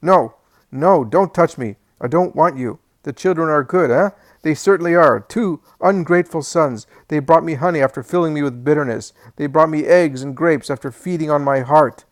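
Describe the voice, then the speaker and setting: A man's voice: moderate pace, dry recording, close to an everyday voice. A man voicing a sick old man in a senile fit of temper, cursing, accusing and complaining in a querulous voice. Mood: angry, self-pitying. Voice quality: shrill and complaining.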